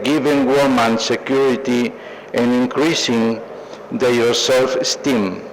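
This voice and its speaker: An older man with a Mexican accent gives a formal, measured acceptance speech as an award recipient. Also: medium pitch. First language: Spanish. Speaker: award recipient, plastic surgeon. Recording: noisy live event recording.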